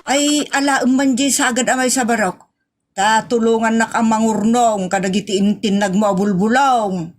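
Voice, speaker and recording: A woman's voice: fairly high pitch, steady tone, moderate pace, lightly acted. A woman with an Ilocano accent playing a nagging, outspoken village woman in a comedy audio drama, drawn out and exclamatory. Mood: chiding.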